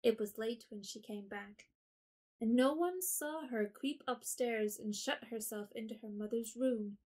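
A woman narrates an audio drama in a steady storytelling voice. Her pitch sits a little high, and her delivery is even and clear. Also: a little slow. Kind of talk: scripted narration.

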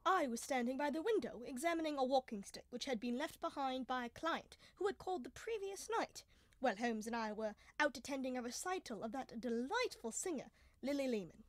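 A woman's voice: high voice, animated, brisk, dry recording. A young woman playing Doctor Watson, a genial, chatty male storyteller, in a radio drama. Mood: calm narrating. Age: young adult. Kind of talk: 1930s-style radio drama acting.

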